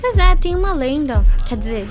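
A chatty, curious Brazilian schoolgirl in her teens, with a notably high voice. She talks in casual slang and bosses her friend around a little, as in a radio play. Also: big pitch swings, moderate pace, over music. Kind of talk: scripted educational radio drama.

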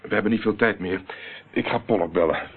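An actor playing a cool, insistent investigator in a Dutch radio play, curt and in control. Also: male voice, on the low side, fairly quick, breathy edge. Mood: calm, grim. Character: cool, commanding male investigator. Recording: muffled, tinny old radio recording.

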